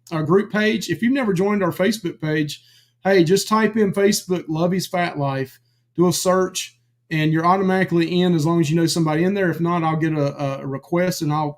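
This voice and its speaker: A man co-hosting a live stream in casual chat, greeting viewers by name with lots of fillers while he is losing his voice. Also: high-pitched. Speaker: livestream co-host.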